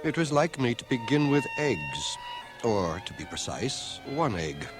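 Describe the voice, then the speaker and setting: A man's voice: mid-range voice, sing-song. An actor playing a grown man recalling his boyhood with wry humor, as scripted radio drama narration. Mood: wry, reminiscent. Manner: wry, measured storytelling. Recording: old radio cassette with music underneath. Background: music.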